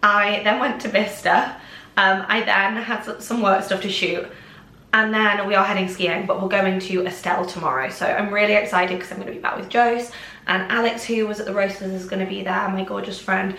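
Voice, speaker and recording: A chatty young woman from England talks to camera in her kitchen, rambling happily with lots of little asides. Her voice sits at an ordinary middle pitch and sounds a bit snuffly. The room around her rings with echo. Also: fairly even intonation, fairly quick, bright, forceful tone. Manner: bubbly, rambling, lots of "like" and "oh my gosh". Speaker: vlogger. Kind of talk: casual chatty vlog to camera. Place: home kitchen, indoors. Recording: echoey room.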